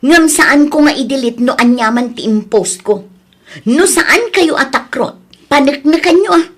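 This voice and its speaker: A woman's voice: high voice, lively intonation, a little slow. A woman with an Ilocano accent playing an outspoken, scolding village woman, blunt and firm, in an audio drama. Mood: defiant. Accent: Ilocano, northern Philippines. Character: scolding, outspoken village woman who stands her ground.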